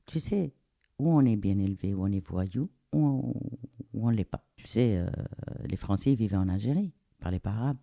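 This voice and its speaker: A middle-aged woman from Algeria, speaking reflectively and pausing to search for words in a casual interview. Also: a bass voice, expressive, gravelly, mellow, no room sound, studio quality. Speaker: interviewee, the host's mother.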